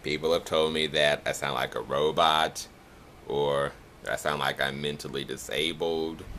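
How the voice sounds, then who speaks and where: A young man from the Chicago area with a Chicago accent, talking in a nasal, monotone way for a casual accent challenge video. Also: very deep voice, a little slow, slight background noise. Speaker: vlogger.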